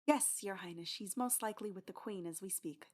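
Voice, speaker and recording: Lady as flustered palace servant, polite n formal, mid-pitched voice, audio drama. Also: brisk, close to an everyday voice. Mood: flustered, respectful. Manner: deferential, formal.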